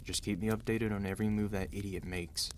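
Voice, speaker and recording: A man acting out a weary, protective mafia boss husband in an ASMR roleplay, commanding and dry yet gentle and intimate. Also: fairly low pitch, fairly even intonation, fairly quick, a little hiss or hum, lightly acted. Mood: stern, businesslike. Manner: tired, authoritative, dry, intimate.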